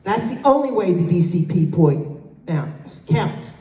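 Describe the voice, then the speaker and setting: A middle-aged woman giving a lively motivational address at a conference, with a loud voice that she sometimes pushes to a yell. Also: on the low side, unhurried. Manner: loud, animated, moves the mic away to yell. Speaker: conference speaker. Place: large hotel conference hall. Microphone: handheld mic, filmed from far off. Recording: echoey hall, recorded from far off.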